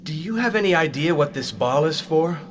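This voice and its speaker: A man's voice: on the high side, lively intonation, fairly quick, noisy background, lightly acted. A man voicing a wary young hero in a game cutscene, questioning and skeptical. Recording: game music behind.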